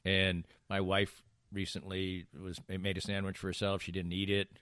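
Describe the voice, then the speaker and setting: Middle aged american guy, deep voice, rambling casual chat, close dry sound. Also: completely flat delivery, normal speed, a bit bright, clean tone, dry recording. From Massachusetts, USA. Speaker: podcast co-host. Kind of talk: casual banter.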